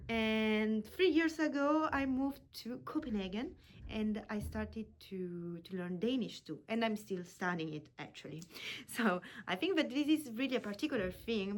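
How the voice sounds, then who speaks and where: Woman teacher introducing herself. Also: medium pitch. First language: Italian. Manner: earnest, searching for words with restarts. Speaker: language teacher. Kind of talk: unscripted self-introduction to students. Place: indoor room with books.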